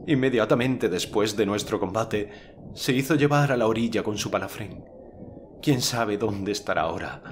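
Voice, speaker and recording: A man voicing a defeated knight in an audiobook, proud of his strength but hoarse, bitter and dejected. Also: on the low side, normal speed, slight background noise, voice pushed up, close to an everyday voice.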